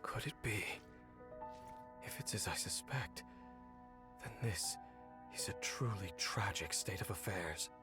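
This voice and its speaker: A man voicing a solemn, world-weary knight in a video game, speaking in a measured, brooding way. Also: lowish voice, lively intonation, music in the background. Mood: grave, pensive. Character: grave, world-weary male knight, former royal guard commander. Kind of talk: video game character acting.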